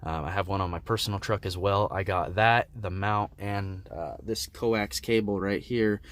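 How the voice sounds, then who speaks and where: A man giving a casual, unscripted product review in a laid-back, hesitant way, with frequent pauses and ums. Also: low voice, fairly even intonation. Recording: faint background noise.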